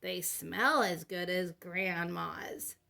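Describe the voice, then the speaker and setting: A woman voicing excited, hungry young children in a picture story, with drawn-out, eager exclaiming. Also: lowish voice, creaky, full character voice. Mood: admiring.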